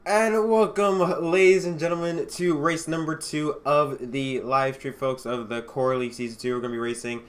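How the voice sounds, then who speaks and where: A man's voice: mid-range voice, fairly even intonation, moderate pace, hardly any pauses, a little hiss or hum. A man hosting a sim racing livestream, sounding a bit congested from allergies, chatting casually and lifting his voice for intros. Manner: upbeat announcer, lifts his voice for intros. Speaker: sim racing league host. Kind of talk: casual race pre-show and livestream talk.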